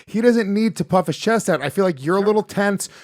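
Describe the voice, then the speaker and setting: A man hosting a podcast and introducing a fighter, talking in a quick, questioning way. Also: high voice, animated, no room sound, studio quality. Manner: hype announcer, then quick questions. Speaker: podcast host. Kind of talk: casual podcast chat, hosting a fight promo.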